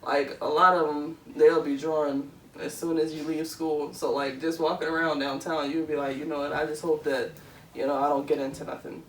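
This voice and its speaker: A woman's voice: low voice, level tone, moderate pace. A woman, co-hosting a podcast in casual chat, rambling with many fillers. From Philadelphia. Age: 20s. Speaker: podcast co-host. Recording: echoey room, mic far off, faint noise.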